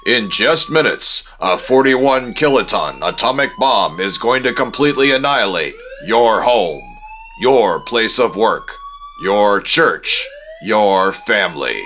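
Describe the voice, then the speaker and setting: An actor playing a grandiose, ominous announcer in the style of fifties radio. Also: male voice, lowish voice, very animated, slow pace, pushed and bright, slight background noise, exaggerated voice. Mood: alarmist. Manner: grandiose, emphatic. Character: dramatic, ominous male old-time radio announcer. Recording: echoey, heavy music bed.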